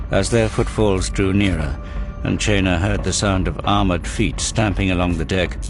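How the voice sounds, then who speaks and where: Very deep grave male narrator over music. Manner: measured, grave storytelling. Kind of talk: dramatic scripted audio drama narration.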